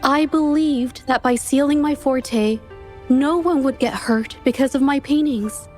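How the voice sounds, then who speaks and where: An actress playing a shy, earnest young woman painter in a game, speaking softly and stammering when flustered. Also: sits high, unhurried. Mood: resolute. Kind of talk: game voice acting. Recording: game audio with faint music underneath.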